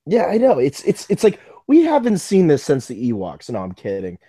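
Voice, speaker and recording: An American man co-hosting a casual live fan podcast, talking in an excitable, joking way. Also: a little high, moderate pace, husky, no room sound. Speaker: podcast co-host.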